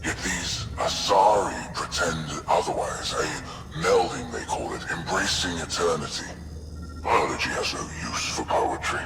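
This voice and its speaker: A man playing a cold, imperious alien overlord in a sci-fi video game, speaking in a measured, grandiose, clinical and menacing way. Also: very deep voice, expressive, gravelly, full character voice. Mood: cold contemplation. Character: cold, imperious male alien overlord studying captive specimens. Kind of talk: dramatic villain monologue in a sci-fi video game. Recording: loud music under the voice.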